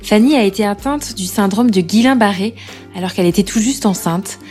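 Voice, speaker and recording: A French woman who hosts a podcast, reading a scripted intro and then asking questions gently with some hesitation. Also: medium pitch, fairly quick, faint music behind. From France. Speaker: podcast host and journalist.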